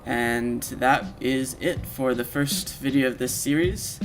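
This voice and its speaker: A man giving a casual, informal walkthrough of a software demo, explaining things step by step to the listener as if they were the player. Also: medium pitch, fairly even intonation, slow, a little hiss or hum. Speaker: presenter of a video walkthrough, game sound designer. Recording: loud game music under the voice. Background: video game music and sound effects.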